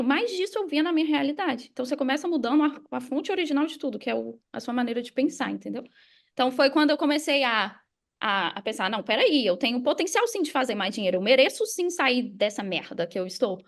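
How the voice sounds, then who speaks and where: Female podcast guest, Brazilian accent, high-pitched and rapid, conversational and emphatic explainer. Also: vocal fry. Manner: emphatic, asks and answers own questions. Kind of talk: casual explanatory interview talk.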